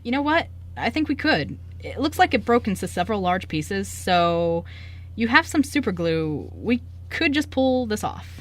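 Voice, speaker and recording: A woman's voice: mid-range voice, sing-song, normal speed, fairly bright tone. A woman playing a polite, helpful male newspaper reporter in an amateur radio play, with a hesitant, caring way of talking. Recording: background room noise.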